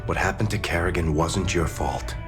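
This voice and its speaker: An actor playing an earnest, dutiful second-in-command in a game cutscene, direct and military in manner. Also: male voice, low-pitched, animated, normal speed, music in the background. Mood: reproachful. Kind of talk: video game cutscene acting.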